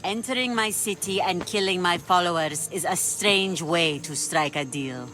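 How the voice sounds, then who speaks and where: A commanding pirate queen in a video game, her voice set low and steady. She trades in threats and bargains with cool, mocking calm. A loud orchestral score plays beneath her. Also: normal speed, faint music behind. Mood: sly. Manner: cool, mocking, businesslike menace. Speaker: pirate leader. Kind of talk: video game cutscene acting.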